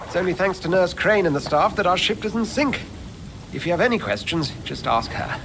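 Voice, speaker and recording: An actor playing a genial, effusive hospital doctor in a video game, chatty and welcoming. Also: male voice, sits high, expressive, brisk. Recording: noisy ambient background.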